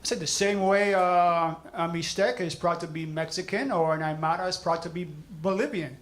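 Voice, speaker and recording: A man, a poet, speaking to a gallery audience in a measured, declarative way, dropping in Spanish words. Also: high-pitched. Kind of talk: speaking at an artist reception. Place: gallery reception room.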